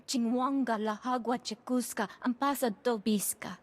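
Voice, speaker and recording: An actress playing an alien in a video game, talking in an invented alien tongue. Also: female voice, a little high, fairly even intonation, normal speed, close to an everyday voice. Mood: earnest. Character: female alien speaking an invented gibberish tongue. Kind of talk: video game cutscene acting.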